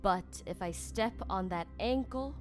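A woman's voice: mid-range voice, measured pace, loud music behind the voice, lightly acted. A woman playing a cruel, taunting assassin for hire in an audio drama, speaking with menacing deliberation.

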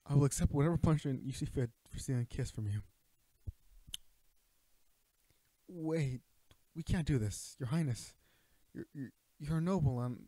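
A man's voice: mid-range voice, mellow, no room sound, natural voice, rough recording. A man playing an earnest royal knight and bodyguard in a romantic audio roleplay, speaking formally and hesitantly to his princess. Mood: anguished. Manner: earnest, hesitant, stammering, formal courtly address. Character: young male knight, protective royal bodyguard, earnest and flustered. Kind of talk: romantic audio roleplay acting, addressing the listener.

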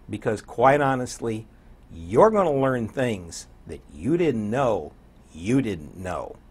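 An older man with an American accent and a hoarse voice, chatting as a radio DJ guest in an interview. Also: lowish voice. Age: 60s or older. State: hoarse, getting over an illness. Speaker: community radio program director and morning DJ, guest. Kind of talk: conversational interview. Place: small indoor TV studio.

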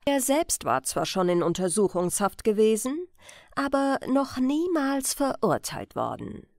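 A woman's voice: on the high side, big pitch swings, measured pace, a little mellow, no room sound, studio quality. A woman narrating a scripted crime story as an audiobook reader.

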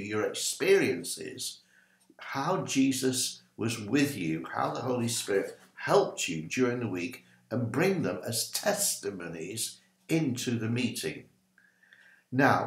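A man's voice: medium pitch, creaky, reverberant. An older man, a minister, giving an ad-libbed teaching talk to a webcam in a small home study, gesturing as he talks. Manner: animated, gesturing with both hands, ad-libbed. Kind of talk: informal teaching talk to camera.

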